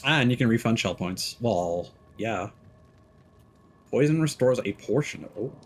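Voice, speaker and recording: A man's voice: medium pitch, animated, moderate pace, a little hiss or hum. A young man streaming a game, casually reading menu items aloud to himself.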